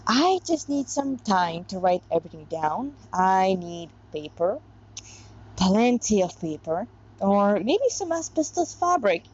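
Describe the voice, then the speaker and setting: A woman voicing a devout, weary but determined female android heroine in a story, earnest and deliberate, in lo-fi sound. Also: mid-range voice, sing-song, darkish tone, husky. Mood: musing, resolved.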